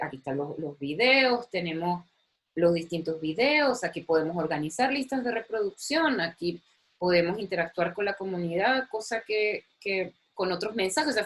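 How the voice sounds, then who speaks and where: A woman teaches a recorded university lecture from her computer. She talks in a chatty, enthusiastic way. She often repeats words and uses many fillers. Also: medium pitch. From Chile. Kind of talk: recorded online lecture with screen sharing.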